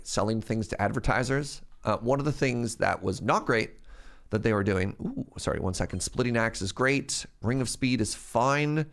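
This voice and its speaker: A man streaming a game, chatting casually and rambling between laughs, sounding sniffly and congested. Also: mid-range voice. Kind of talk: casual live-stream chat while gaming.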